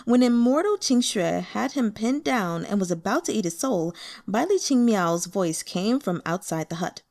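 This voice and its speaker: Female audiobook narrator for fantasy fiction: mid-pitched, very lively intonation, expressive scripted read. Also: moderate pace, vocal fry.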